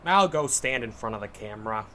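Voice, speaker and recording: A man's voice: on the low side, fairly quick, a little hiss or hum. A man playing a cocky, wisecracking would-be podcast storyteller in naturalistic audio drama dialogue, with a flippant manner. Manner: flippant, deadpan joking.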